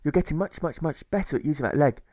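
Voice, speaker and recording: A man voicing a gentle, friendly prisoner in an audiobook, speaking in a kindly, coaxing, persistently cheerful way. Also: on the high side, animated, fast talker, no echo, studio quality, voice pushed up. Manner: pleading, kindly, repeats words.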